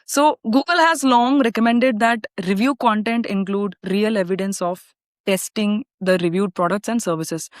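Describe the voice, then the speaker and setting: A woman with an Indian accent who hosts a podcast and asks questions in a measured way. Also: medium pitch, no room sound, studio quality. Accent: Indian English. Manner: measured questioning, thorough explanations with restarts. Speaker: podcast host. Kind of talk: podcast interview.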